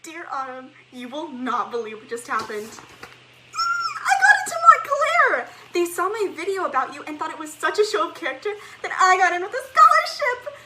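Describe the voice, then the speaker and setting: A woman's voice: very high-pitched, very animated, moderate pace, very noisy. A woman playing a gushing, self-absorbed teenage girl in a stage drama monologue. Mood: gushing, excited. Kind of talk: one-act play letter monologue.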